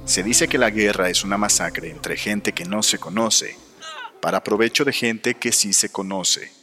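An actor playing a solemn voice musing on war, delivering a scripted monologue in a Spanish-language radio drama. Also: male voice, fairly low pitch, unhurried, pushed and bright. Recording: long echoing reverb.

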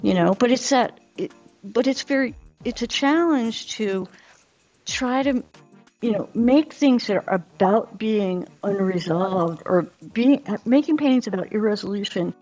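A middle-aged woman guest reflecting in conversation, thoughtful and halting as she builds her ideas. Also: on the high side, expressive, darkish tone, soft background music, poor audio. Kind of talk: reflective conversational interview talk.

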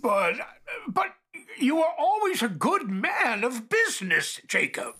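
An actor playing a frightened, querulous old miser in a theatrical radio drama, stammering and halting with a quaver. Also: male voice, very high-pitched, huge pitch swings, sharp tone, a little hiss or hum, exaggerated voice. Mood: pleading.

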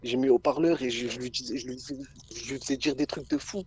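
A man with a Southern French accent tells a story to his friends in a relaxed chat. He talks quickly and circles back over his own words, repeating phrases as he goes. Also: mid-range voice, a crowd in the background. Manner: rambling, repeats himself. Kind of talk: casual podcast chat.

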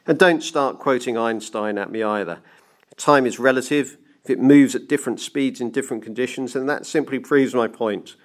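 A man's voice: medium pitch, normal speed, natural voice. A man voicing a frenzied, domineering banker in an audiobook story, with a grandiose, barking manner. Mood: ranting. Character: frenzied, domineering male banker obsessed with defeating time. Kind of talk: dramatised audiobook reading.

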